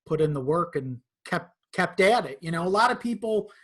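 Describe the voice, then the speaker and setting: A man's voice: on the high side, lively intonation, moderate pace, a bit bright, breathy edge. Easygoing-worded male podcast guest, casual talk. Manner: informal, conversational, swears. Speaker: podcast guest, content creator.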